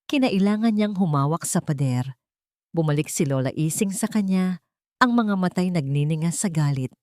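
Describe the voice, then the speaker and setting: Casting: Filipino-accented woman narrator, low voice, dry recording, for dramatic scripted love stories. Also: lively intonation, moderate pace, a little mellow, no room sound. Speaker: story narrator.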